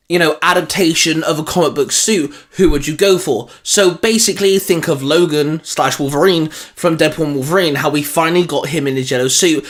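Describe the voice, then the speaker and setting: Higher-pitched man hosting casual chat. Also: fairly bright tone. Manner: informal, swearing. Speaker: host. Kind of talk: casual geeky panel chat.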